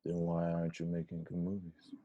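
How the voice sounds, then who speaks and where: Actor as a mellow, dry-witted young friend. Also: male voice, low-pitched, completely flat delivery, fairly quick, no room sound, lo-fi sound, close to an everyday voice. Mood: blunt. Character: drunk young best friend, earnest yet exasperated. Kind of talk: comedic table read acting.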